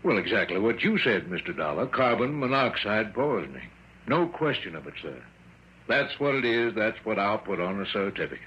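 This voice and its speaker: An actor playing a brusque, dismissive old country doctor in a radio drama, folksy, hemming and repeating himself. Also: male voice, on the low side, very animated, normal speed, breathy edge, heavily acted. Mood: folksy, deliberate. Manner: folksy, repetitive, hemming, insistent. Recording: dull, faintly noisy vintage radio recording.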